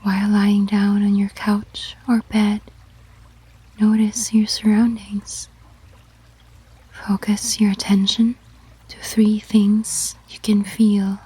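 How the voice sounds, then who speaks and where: A woman leads a sleep meditation in a very breathy, whispered voice. Her delivery is slow and even, with little rise or fall in pitch. She gives each gentle instruction in a measured way, in a soft ASMR style. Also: poor audio. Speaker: guided meditation narrator.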